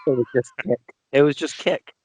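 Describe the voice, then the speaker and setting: A man's voice: mid-range voice, normal speed, a little mellow, husky, no room sound. A man joking and teasing during a casual podcast chat. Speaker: podcast co-host.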